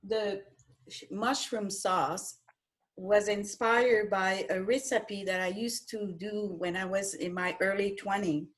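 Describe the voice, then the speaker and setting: A middle-aged woman whose first language is French, chatting as a guest cook, halting and restarting her sentences as she searches for words. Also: medium pitch, steady tone. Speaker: schoolteacher. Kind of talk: casual interview chat.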